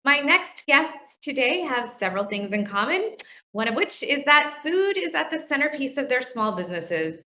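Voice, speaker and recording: A woman's voice: sits high, very animated, normal speed, bright, forceful tone. A woman, a technology reporter, hosting and moderating a live interview, friendly and a little flustered at first. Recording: lo-fi with a roomy echo.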